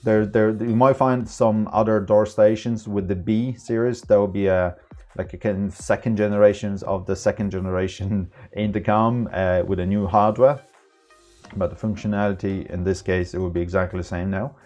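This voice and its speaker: A man with a low voice walks through a product tutorial in an informal, unscripted way. His delivery is halting and his phrasing is non-native. Faint music is in the background. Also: steady tone, a little mellow. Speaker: tech tutorial presenter.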